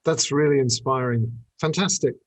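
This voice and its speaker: A man in his fifties hosts a casual podcast interview. His voice sits at a middling pitch, and he feels his way into sentences with repeated words. The sound is dry, with little room around it. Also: no room sound. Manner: hesitant, halting phrasing with repeated words.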